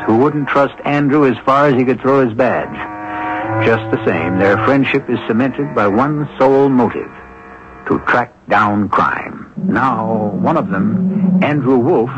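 A man hosting a radio mystery series, narrating from a script with theatrical, deliberate, suspenseful phrasing. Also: mid-range voice. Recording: muffled vintage radio broadcast recording. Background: loud music under the voice.

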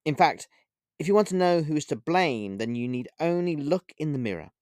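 A man voicing a scornful, playful female witch in an audiobook, mocking and theatrical, with drawn-out emphatic phrasing. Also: on the high side, very animated, darkish tone, clear, resonant tone, no room sound, studio quality, pitched above the performer's own voice. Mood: scornful, angry. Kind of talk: audiobook character voice.

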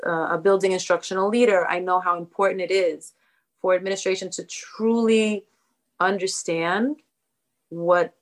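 A woman hosts a podcast interview in a friendly, chatty way, affirming her guest and tossing in casual asides. Her voice sits a little low for a woman. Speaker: podcast host, school leader.

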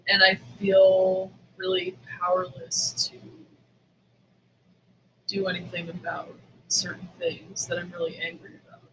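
A woman podcast co-host chatting casually, telling stories in a candid, animated, sweary way. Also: fairly low pitch, unhurried, slight background noise, rough recording. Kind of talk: casual chat.

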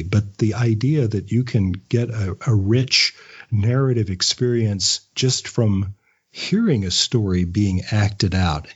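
A man in his fifties chatting casually as a podcast guest, telling stories in a halting, anecdotal way on dull, lo-fi audio. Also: lowish voice, husky. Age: 50s. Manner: chatty storyteller, halting with repeated words, chuckles often. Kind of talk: casual interview chat.

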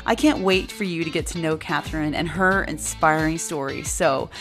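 A woman hosting a fitness podcast with effusive, enthusiastic energy, warmly welcoming a guest. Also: low voice, normal speed, faint music behind. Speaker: podcast host and fitness coach.

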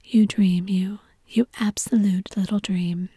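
A gushing young society woman, as a woman whispers her, crooning and flighty. The whisper is mellow and breathy. It sounds close and dry. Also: medium pitch, steady tone, unhurried, no room sound.

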